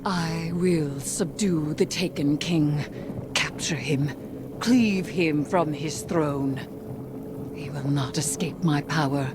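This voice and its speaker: A woman playing a grim, vengeful sorceress queen in a video game, speaking in a solemn, deliberate, imperious way. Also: sits low, noisy background. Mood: commanding, menacing. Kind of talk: dramatic video game cutscene acting. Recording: loud music under the voice.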